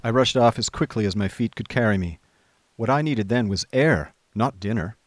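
A man playing an affable, idle upper-class gentleman, narrating a radio drama in breezy old-fashioned slang. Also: fairly low pitch, moderate pace, no echo, close to an everyday voice. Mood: wry, recounting.

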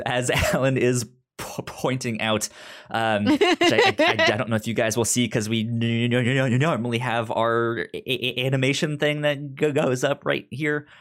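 A man's voice: mid-range voice, very animated, normal speed, fairly bright tone. A man hosting a podcast in a casual, chatty way, often stumbling over and repeating his words. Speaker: podcast host.

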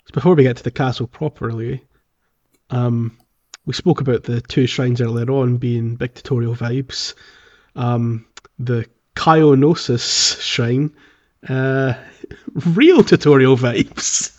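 A man hosts a casual gaming podcast with a mid-pitched voice. He talks through a game in a conversational way, and the audio is a little dull and lo-fi. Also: slow pace, darkish tone. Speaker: podcast host. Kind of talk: casual video game playthrough discussion.

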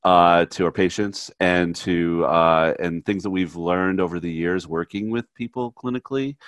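A man hosting a conversational faith and psychology podcast, a little stuffy from a cold, relaxed, with frequent fillers and easy laughs. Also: sits low, moderate pace, no room sound. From United States. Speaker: podcast co-host, therapist.

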